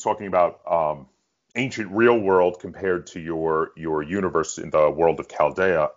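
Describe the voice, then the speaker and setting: A man with a fairly low voice hosts a casual interview. He is enthusiastic and appreciative as he puts questions to his guest. The audio is a little dull and lo-fi. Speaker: interviewer, host.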